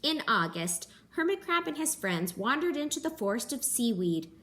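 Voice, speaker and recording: A young woman, a teacher, reading a picture book aloud to children at home in a gentle, animated storytelling manner. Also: high voice, normal speed. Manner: gentle, animated storytelling with gasps and character voices. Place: living room at home.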